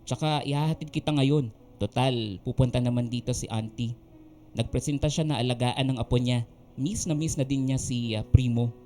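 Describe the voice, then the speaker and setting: A man playing a tender, gently teasing young husband and father in a radio drama, over music. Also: mid-range voice, steady tone, moderate pace, a little hiss or hum, natural voice. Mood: affectionate. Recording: music under the voice.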